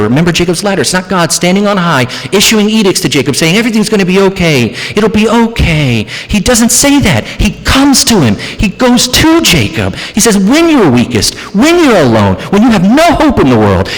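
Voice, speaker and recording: A man preaching a sermon as pastor, dramatic, moving between hushed passages and raised, emphatic ones. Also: high-pitched. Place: church sanctuary. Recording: church hall reverb.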